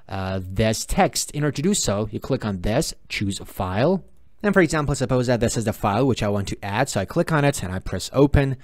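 Persian-accented man giving a software tutorial. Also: mid-range voice, no echo. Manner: explanatory, repetitive, posing viewers' questions and answering them. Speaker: tutorial presenter. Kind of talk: step-by-step software tutorial, spoken informally. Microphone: close mic, screen-recording voice-over.

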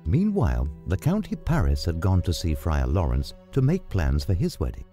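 A deep-voiced man narrates a story with dramatic, measured delivery. His pitch moves widely and dynamically, and music plays underneath. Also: huge pitch swings, warm tone. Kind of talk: scripted audiobook story narration. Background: background music.

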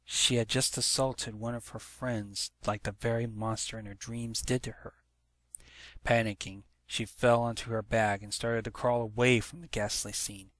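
Bright-voiced man narrating a story. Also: mid-range voice, level tone, normal speed, dry recording. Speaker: fan fiction narrator. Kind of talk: dramatic scripted story narration.

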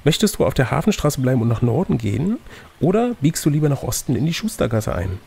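A man's voice: mid-range voice, big pitch swings, fast, creaky, a little mellow, husky. A man reading out an adventure gamebook as game master, slipping between narration and playful, teasing casual banter.

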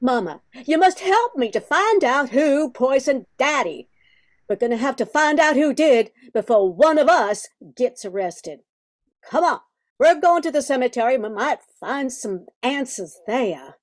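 Older woman playing a spirited daughter, high-pitched, slow delivery, emphatic, radio drama. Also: big pitch swings. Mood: resolute. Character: spirited, indignant grown daughter, protective of her mother. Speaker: amateur radio drama performer. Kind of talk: old-time radio play acting.

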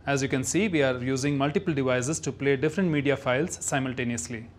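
A man's voice: medium pitch, fast. A man reading scripted narration for a product demonstration.

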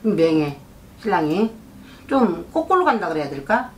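A woman's voice: on the low side, sing-song, moderate pace, a little hiss or hum. A middle-aged woman, a shaman fortune teller, giving a client blunt advice and scolding her, and she keeps asking whether the client has understood. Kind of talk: fortune-telling consultation. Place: shrine office indoors.

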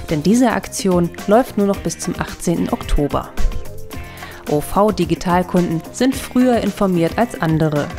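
A woman reading a scripted promotional voice-over. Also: on the low side. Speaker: advertising voice-over. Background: music.

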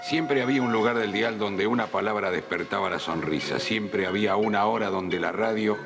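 A man's voice: fairly low pitch, moderate pace, creaky. A man with an Argentine accent reading scripted narration for a radio tribute as a presenter. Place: dark indoor studio. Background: music.

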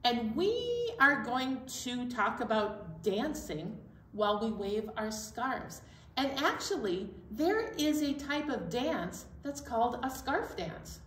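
A woman's voice: on the high side, very animated, slow. A middle-aged woman hosting a storytime for young children, explaining things simply and speaking to them directly. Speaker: children's library storytime host. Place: indoor library storytime room. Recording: roomy, distant mic, faint room noise.

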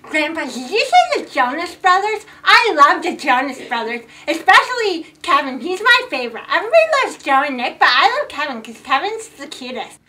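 An excitable little girl in a comedy sketch. Her voice is extremely high and piercingly bright, and she gushes and rambles like a chatterbox. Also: a little fast, full character voice. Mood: gushing. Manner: rambling, gushing, childlike.

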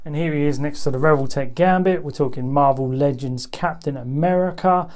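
A man's voice: fairly high pitch, fairly quick, a little mellow. A man giving a casual, unscripted hands-on review commentary in an easygoing, chatty, conversational way. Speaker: toy reviewer.

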